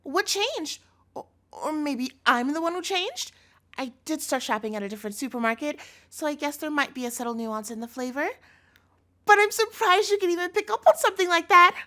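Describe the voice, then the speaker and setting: Yandere girlfriend, played by a woman, audio roleplay. Cloying, controlling devotion; high-pitched, very animated delivery, pleading and doting. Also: fairly quick. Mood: hurt, probing. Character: sweetly possessive yandere girlfriend, a doting chef captor.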